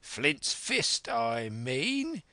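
A man voicing a blind old pirate villain in an audiobook, shouting furious orders and curses in old sailor talk. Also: medium pitch, steady tone, slow pace, no echo. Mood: angry, commanding.

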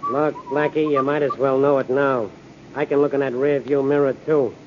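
A man's voice: medium pitch, flat delivery, moderate pace, lightly acted. A man playing a menacing, sneering, self-assured gangster with tough, slangy talk in an old-time radio crime drama. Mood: smug menace. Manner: tough, clipped slangy threats. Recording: noisy, dull old-time radio recording.

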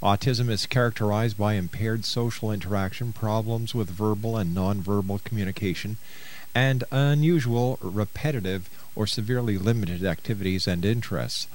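Low-voiced middle-aged male radio host. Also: normal speed, a little mellow, slight background noise, poor audio. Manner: avuncular, uses pet names for the guest. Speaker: radio talk show host and interviewer. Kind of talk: radio interview, introducing and questioning a guest.

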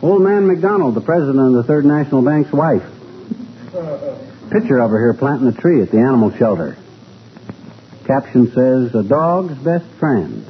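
An actor playing a folksy, wisecracking middle-aged husband in 1940s radio comedy, on a muffled, noisy old recording. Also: male voice, medium pitch, big pitch swings, moderate pace, long pauses, close to an everyday voice. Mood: amused, chatty.

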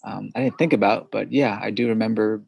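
Husky low-voiced man, hesitant. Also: steady tone, a little mellow, no echo. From suburbs of New York City. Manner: thoughtful, hesitant, many pauses. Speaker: podcast guest, founder. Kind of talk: interview conversation.

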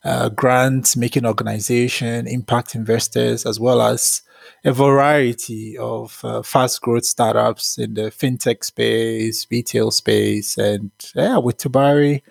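A man in his thirties from Ghana, a tech founder answering interview questions in a measured, thoughtful way with frequent fillers. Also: mid-range voice, fairly even intonation, vocal fry, no room sound.

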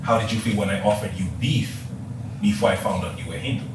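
A man with a Tanzanian accent, an emphatic, animated coach talking about customer service. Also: on the low side, quick pace. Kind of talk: coaching talk. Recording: echoey room, distant mic, noisy.

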